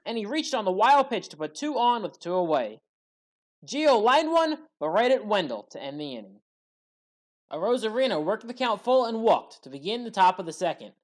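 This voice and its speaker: A woman reading scripted play-by-play commentary for a sports highlights recap in a matter-of-fact way that lifts on key moments.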